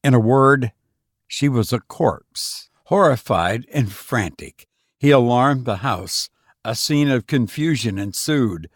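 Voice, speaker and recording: Male audiobook narrator, unhurried and measured, solemn literary delivery, close dry sound. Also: medium pitch, lively intonation, no room sound. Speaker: audiobook reader.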